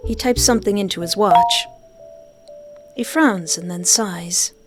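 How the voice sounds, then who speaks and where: A woman's voice: mid-range voice, unhurried. A woman reading a visual novel aloud expressively, with casual reactions of her own. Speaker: game player reading and reacting. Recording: music behind the voice.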